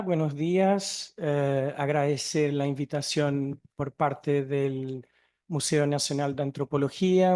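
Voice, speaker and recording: A Portuguese man, a native speaker of Portuguese, lectures at a seminar in Spanish with a Chilean-flavored accent and a slightly raised pitch. He speaks haltingly, with frequent fillers and self-corrections, through a microphone in a lecture hall. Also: mellow. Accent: Chilean-tinged Spanish. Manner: hesitant, many fillers and restarts. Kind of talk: academic lecture.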